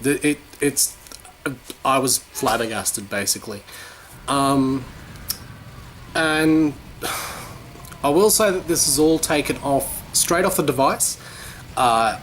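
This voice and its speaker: A man's voice: medium pitch, a bit bright, a little hiss or hum, rough recording. A man chatting casually in a home vlog, rambling, swearing and poking fun at himself. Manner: rambling, sweary, self-mocking. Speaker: vlogger.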